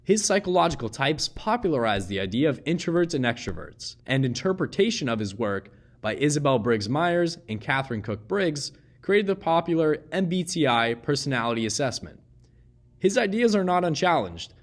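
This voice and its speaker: A man reading a scripted educational narration in a measured, explanatory way. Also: a little high, sing-song.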